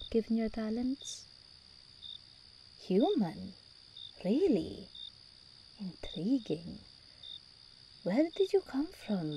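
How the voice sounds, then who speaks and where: A woman's voice: on the high side, dark tone, slight background noise, full character voice, poor audio. A woman playing a regal, seductive demon princess in an ASMR roleplay, theatrical and teasing, commanding yet tender with her endearments.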